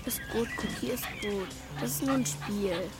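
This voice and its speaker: A woman's voice: medium pitch, moderate pace, over loud music, close to an everyday voice. A female performer playing a patient young girl in a children's radio play. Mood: soothing.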